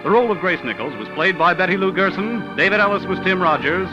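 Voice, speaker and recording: A man working as a radio announcer in formal 1940s network style, with polished, measured, declamatory delivery. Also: sits high, animated. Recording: muffled vintage radio recording with music.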